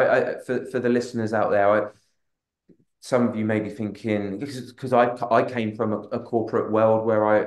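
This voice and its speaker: A man in his thirties, a real estate agent on a webinar panel, answering at length with many restarts and filler phrases over a video call. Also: fairly low pitch. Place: room with a large wall map. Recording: roomy video call audio.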